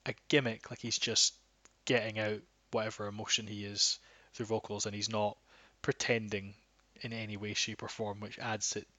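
A man talking as a podcast guest in a bright, forceful voice with little rise and fall. He rambles, restarting sentences and leaning on fillers. The sound is dry and close. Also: on the low side, flat delivery, normal speed, few pauses, no echo. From Scotland. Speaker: guest, metal band guitarist. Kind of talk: casual podcast chat.

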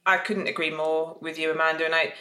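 A woman's voice: deep voice, flat delivery, quick pace, bright, forceful tone, roomy sound, rough recording. A woman speaking as a panelist, hesitant and full of filler words as she gathers her thoughts. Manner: hesitant, lots of "you know" fillers. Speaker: panelist, tech company newcomer. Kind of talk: panel discussion.

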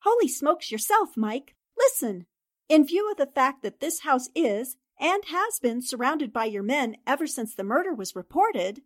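A woman playing a sharp, commanding newspaper columnist who questions briskly in a 1940s-style radio drama. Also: sits high, big pitch swings. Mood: insistent.

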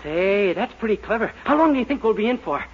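An eager, naive young American man in an old radio comedy. His voice sits high and swings widely in pitch. The recording is muffled, from the 1940s. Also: very animated, quick pace, noisy background. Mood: flustered. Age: young adult. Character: eager, naive young man, nervous schemer, mock screen lover.

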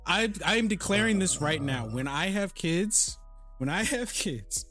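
A man's voice: sits high, expressive, measured pace, music in the background. A man in his thirties hosting a casual group chat, exasperated and rambling, often stumbling over restarts. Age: 30s. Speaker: podcast host.

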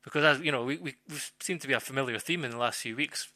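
Guy doing football podcast chat, really sharp bright voice, stops and repeats himself, close dry sound. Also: medium pitch, no room sound. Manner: halting, thinking aloud with repeated phrases. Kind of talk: casual football discussion.

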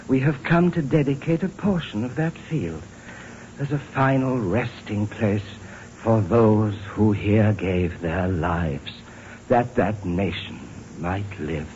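A humble, earnest manservant, speaks with formal, courteous care. His delivery is deferential and often slow. The sound is a muffled old film track with faint hiss. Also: fairly low pitch, darkish tone. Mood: solemn, reverent. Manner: courteous, halting, formal diction. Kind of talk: 1930s Hollywood comedy film acting.

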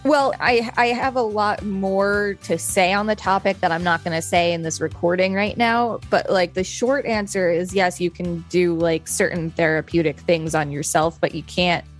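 A woman's voice: lowish voice, normal speed, hardly any pauses, faint music behind. A woman co-hosting a podcast, talking in a casual, conversational style. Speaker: podcast co-host.

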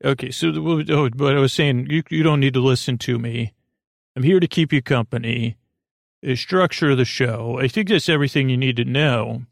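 A man with a noticeably creaky voice talks softly reassuring nonsense as a bedtime podcast host. He wanders off on tangents, stops and restarts his sentences, and keeps a friendly, gentle manner. The sound is dry, with hardly any room in it. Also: mid-range voice, moderate pace, no echo. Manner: hesitant, digressive, often restarting mid-sentence. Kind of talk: rambling, meandering monologue to lull listeners to sleep.

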